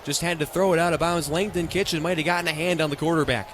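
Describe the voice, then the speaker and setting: A fairly high-pitched American man works as a radio play-by-play announcer at a football game. He delivers the action in short, clipped phrases. Outdoor stadium noise is audible behind him. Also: steady tone, fast, a bit bright. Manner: clipped present-tense phrases.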